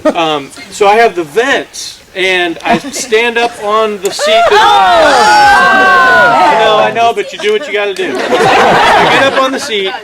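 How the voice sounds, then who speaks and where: Animated high-pitched man telling comic stories. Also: slow, heavy background noise. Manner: exaggerated, acting out quoted dialogue. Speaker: comic storyteller. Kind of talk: animated comedic storytelling to a live audience. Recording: loud audience laughter behind. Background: laughing crowd.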